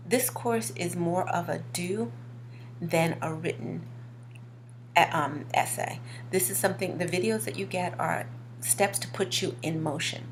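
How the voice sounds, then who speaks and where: Low-voiced woman, slightly hoarse, noisy recording. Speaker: fashion course instructor. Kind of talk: informal promotional talk to camera.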